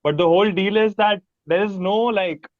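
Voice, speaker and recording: A man's voice: sits high, normal speed, no echo. A man with an Indian accent, a musician being interviewed, chatting casually in a rambling, enthusiastic, informal way. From Mumbai, India. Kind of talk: casual chat. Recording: thin phone-like sound, fairly dry.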